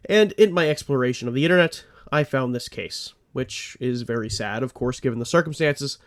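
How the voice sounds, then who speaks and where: A man hosts a true crime podcast in a mid-pitched voice, stuffed up and a little phlegmy. He narrates earnestly and keeps drifting into rambling asides. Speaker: podcast host.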